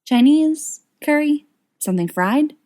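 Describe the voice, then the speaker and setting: Lady reader doing a soft teasing girlfriend in a storybook, high-ish, trails off. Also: lively intonation, a little slow. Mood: playful. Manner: gentle, trailing off lamely. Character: young woman, gentle, teasing, hesitant girlfriend.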